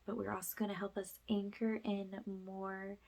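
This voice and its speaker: A woman leading an ASMR guided spiritual healing session, speaking softly and breathily, often in a whisper, with slow, gentle, soothing guidance. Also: medium pitch, level tone, vocal fry. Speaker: ASMR energy healer, host.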